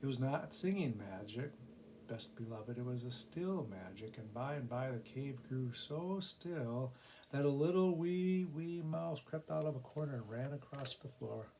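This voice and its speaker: Mellow-voiced man reading a storybook. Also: mid-range voice, expressive, a little slow, a little hiss or hum, poor audio. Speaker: volunteer story reader. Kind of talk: expressive children's storybook narration.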